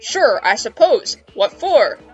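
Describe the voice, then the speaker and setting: A woman's voice: fairly high pitch, big pitch swings, slow, husky, dull audio, exaggerated voice. Weary male ex-villain, read by a woman, earnest and subdued storybook delivery over music. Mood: earnest, regretful. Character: reformed, weary middle-aged male former villain. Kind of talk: dramatic reading of fan fiction. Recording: music behind the voice.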